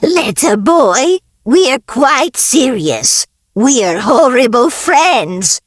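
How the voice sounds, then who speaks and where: Casting: female reader playing a solemn, insistent little horror, slow with swooping intonation, close dry recording. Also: sits high, big pitch swings, no room sound, exaggerated voice. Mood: solemnly boastful. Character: earnest, prim horrible monster trying to scare. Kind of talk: children's storybook read-aloud.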